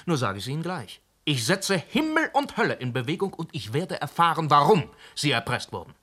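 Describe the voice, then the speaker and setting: An actor playing a sharp, sarcastic police inspector who presses hard in a radio drama. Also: male voice, on the high side, big pitch swings, fairly quick. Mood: pressing. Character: aggressive, blunt male police inspector. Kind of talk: German radio drama acting.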